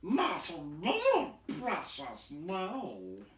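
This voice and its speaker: Wretched, possessive storybook creature: shrill hissing, slow agitated wailing, wildly swooping pitch, echoey room. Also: male voice, huge pitch swings, a bit bright, heavily acted. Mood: suspicious, demanding. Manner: wailing, drawn-out, agitated repetition. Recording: echoey room, distant from mic.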